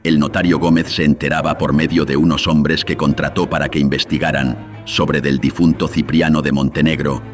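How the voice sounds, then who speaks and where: A man's voice: extremely low pitch, little pitch movement, moderate pace, soft background music. A man narrating a scripted horror radio drama, his voice processed with filters.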